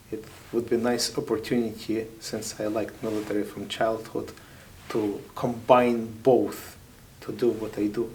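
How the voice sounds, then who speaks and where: A man in his thirties with a Ukrainian accent, a chaplain giving thoughtful, halting interview answers with many pauses. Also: on the low side, creaky, a little hiss or hum, poor audio.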